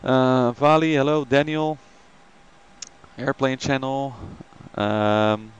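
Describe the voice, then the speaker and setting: A man's voice: mid-range voice, fairly even intonation, slow, clean tone, highs cut off. A man hosting a flight simulator live stream, chatting casually with many ums and greeting viewers by name.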